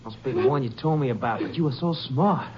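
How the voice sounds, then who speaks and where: A dull, noisy old radio crime drama recording carries a menacing killer, played by a man. He is sadistic and sneering, taunting in a medium-pitched voice. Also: brisk, natural voice. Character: sadistic, sneering male killer bullying his girlfriend.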